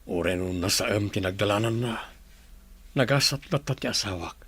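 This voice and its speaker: A man playing a gentle, devoted middle-aged doctor in an Ilocano radio drama, with an Ilocano accent. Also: on the low side, moderate pace, slightly rough, no room sound. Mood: tender.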